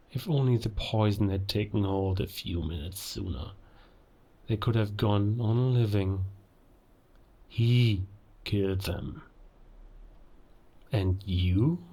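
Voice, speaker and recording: A cold werewolf villain, acted by a man in a cinematic audio drama speaks very slowly in a low voice. He sets each phrase apart with deliberate pauses, keeping the threat quiet and controlled. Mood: cold, matter-of-fact, then contemptuous. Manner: slow, deliberate, pausing, quietly menacing. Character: cold, menacing male werewolf villain, a murderous spy. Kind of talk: cinematic audio roleplay monologue.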